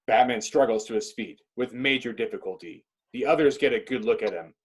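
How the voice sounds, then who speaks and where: A man with a husky voice reading stage directions as dramatic scripted narration. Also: medium pitch, fairly quick.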